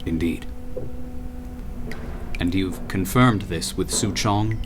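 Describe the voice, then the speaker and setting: Radio drama tycoon, male actor: imperious and formal, unhurried low delivery, noisy recording. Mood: measured, cold. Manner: measured, oratorical, formal. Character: imperious male city founder and tycoon, cold, grandiose. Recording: steady background noise over voice.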